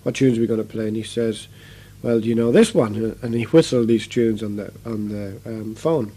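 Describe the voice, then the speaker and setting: Mellow-voiced musician, rambling. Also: male voice, on the low side, moderate pace, slightly rough. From Ireland. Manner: rambling, many fillers and restarts. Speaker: guest musician. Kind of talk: casual interview chat.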